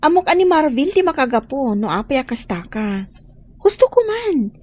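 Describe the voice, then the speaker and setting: A woman's voice: high voice, sing-song, normal speed, darkish tone. An actress playing a knowing, concerned woman in an Ilocano radio drama, exclaiming as she comforts and counsels.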